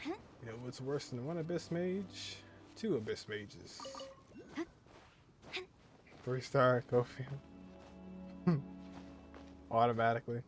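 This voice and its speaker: A man's voice: medium pitch, slow, pauses often. A man doing casual let's-play commentary, offhand and jokey, half talking to himself while he plays. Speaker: gaming streamer. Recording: game music behind the voice.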